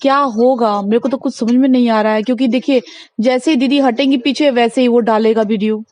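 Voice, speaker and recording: A woman's voice: a little high, steady tone, brisk, a little mellow. A woman talking casually in a recorded phone conversation, giving advice insistently and repeating herself for emphasis.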